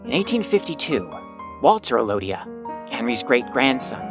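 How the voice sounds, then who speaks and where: An actor playing a pompous, proud young heir in an audio drama, reciting family history grandly with dramatic pauses. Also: mid-range voice, big pitch swings. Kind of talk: theatrical scripted monologue, audio drama. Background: music.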